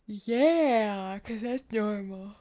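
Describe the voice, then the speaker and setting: A woman's voice: medium pitch, drawn-out words, dark tone. A woman streaming a game with casual, playful, joking live commentary.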